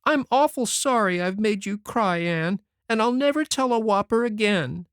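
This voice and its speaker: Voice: sing-song, normal speed, no echo, clean studio sound, close to an everyday voice. A reader voicing a mischievous six-year-old boy who pleads and questions eagerly, in an audiobook. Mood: sobbing pleas. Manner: high, eager childish pleading. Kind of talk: audiobook character voice.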